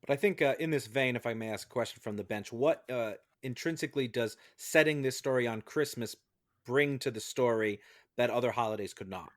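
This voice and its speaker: A man's voice: mid-range voice, a little fast. A man moderating a mock trial as its judge, with mock-formal wording and hesitant phrasing. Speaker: host playing presiding judge.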